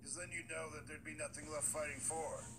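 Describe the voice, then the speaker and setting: A man voicing a gruff, know-it-all gamer in a comedic cartoon, with a gravelly voice. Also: a little high, flat delivery, brisk, over music, rough recording. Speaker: voice actor playing Veteran. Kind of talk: comedic animated character voice.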